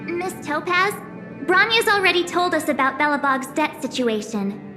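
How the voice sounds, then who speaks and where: Woman acting very high-pitched perky teenage girl. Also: normal speed, in a large hall, music in the background, lightly acted. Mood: awkward, direct. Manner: informal, blurting, animated. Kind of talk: video game voice acting.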